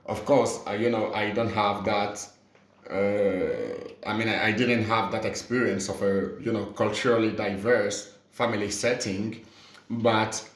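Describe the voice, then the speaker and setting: Echoey, lower-voiced man in earnest conversation. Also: fairly even intonation, unhurried. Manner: earnest, peppered with "you know". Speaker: podcast speaker. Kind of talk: casual, reflective podcast conversation. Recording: roomy, echoey podcast recording.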